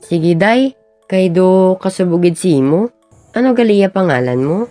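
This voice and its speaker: Girl acting an earnest schoolboy, low mellow voice. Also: expressive, a little slow, dry recording, faint music behind. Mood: concerned. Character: earnest lovestruck schoolboy named Lando. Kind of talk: amateur radio drama acting in Filipino regional language.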